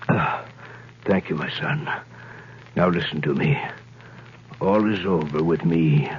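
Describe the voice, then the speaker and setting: An actor playing an aged, learned priest in prison, solemn and theatrical in a 1930s radio drama. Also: male voice, low-pitched, slow pace. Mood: dying, urgent. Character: mad priest, aged learned male prisoner, patient and fatherly. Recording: muffled, hissy vintage 1930s radio recording.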